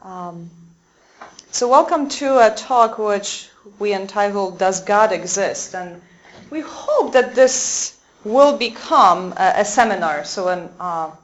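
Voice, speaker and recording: A woman's voice: medium pitch, muffled recording. Polish woman giving a hesitant talk. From Poland. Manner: hesitant, many fillers and restarts. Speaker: Dominican sister giving a talk. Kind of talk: lecture talk to a small audience. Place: convent room with a live audience.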